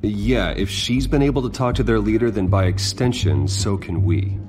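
A man's voice: fairly low pitch, moderate pace, a little mellow, soft background music, close to an everyday voice. An actor playing a serious, determined wealthy businessman with a secret life, in a restrained video game style. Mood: pensive resolve.

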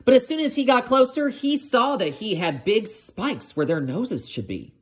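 A woman reading a children's picture book aloud like a teacher, expressive and addressing her young listeners. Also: fairly high pitch, normal speed, breathy edge. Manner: expressive, asks listeners questions.

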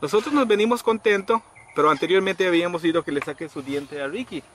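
A middle-aged man casually telling a story outdoors, acting out quoted people with sudden high pitched voices. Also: fast talker, fairly bright tone. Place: outdoors under trees in a rural yard. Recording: outdoor noise in background.

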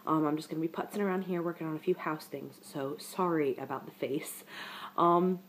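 Young woman, lowish voice, stuffed up from a cold, rambling chattily to her webcam on the couch. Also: fairly even intonation, brisk, slight background noise. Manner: chatty, rambling, talks straight to viewers. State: congested from a head cold. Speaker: vlogger. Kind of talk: casual pregnancy update to camera. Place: living room, indoors, on a couch.

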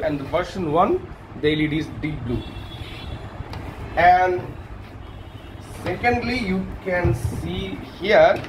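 Slow, halting man with noise behind him. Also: sits high. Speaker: tech video host. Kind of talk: hands-on teardown narration. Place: small indoor workshop room.

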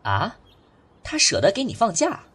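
A woman's voice: medium pitch, wildly swooping pitch, measured pace, slightly rough, full character voice. A woman voicing a streetwise, protective, hot-tempered young man in an audio drama, blunt and emotional. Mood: casual. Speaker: voice actor.

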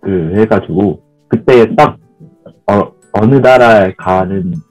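A young man, native Vietnamese speaker, answering on a video call through a headset in a quick, casual way. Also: fairly low pitch, a little mellow, faint music behind. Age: young adult. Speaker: interviewee, student.

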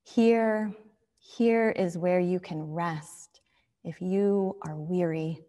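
A woman in her thirties, a minister giving a scripted worship invocation in a solemn, measured, deliberate way. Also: on the low side, dark tone. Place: church sanctuary. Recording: long reverberant hall echo.

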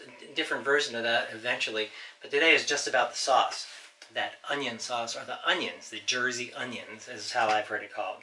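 Man, 60s, casual cooking vlog host, rambling step-by-step talk, home kitchen, clear frying noise. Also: medium pitch, sharp tone. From United States. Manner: chatty, self-correcting, narrates each step as he cooks. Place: small home kitchen, indoors. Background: frying and sizzling.

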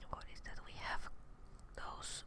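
A young woman whispering ASMR gameplay commentary in a breathy, unvoiced hush, murmuring her thoughts in short fragments close to the microphone. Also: measured pace. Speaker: gaming streamer. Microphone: close studio microphone with headset headphones, indoors.

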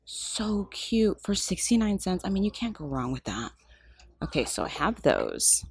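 A woman filming a shop-with-me vlog in a craft store aisle on a handheld phone, excited and gushing, often dropping to a whisper. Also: lowish voice, a little slow, gravelly, husky, no room sound, clean studio sound. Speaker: shopping vlogger.

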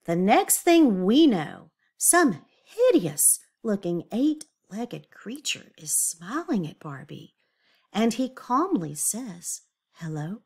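Woman, children's read-aloud, lively swooping pitch, unhurried pace, emphatic words, questions to young listeners. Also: husky. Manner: animated, with emphatic drawn-out words and confiding asides.

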